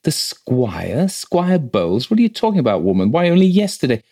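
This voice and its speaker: A man's voice: lowish voice, moderate pace, darkish tone, natural voice. Country rector, played by a male reader: authoritative, educated, formal and businesslike, for a storybook ghost tale. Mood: startled. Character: brisk, educated, slightly impatient country rector.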